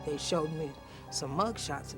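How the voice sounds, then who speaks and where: A woman's voice: low-pitched, measured pace, music in the background. A woman with an American accent, recounting events casually and vividly in an interview, in colloquial speech. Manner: colloquial, vivid retelling with quoted thoughts. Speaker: interviewee, attack survivor.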